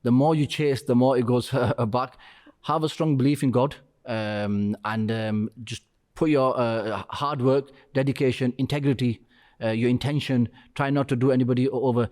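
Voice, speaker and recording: A middle-aged man being interviewed on a podcast as a restaurant co-founder. He speaks earnestly and haltingly and says "you know" often. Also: mid-range voice, fairly even intonation, darkish tone.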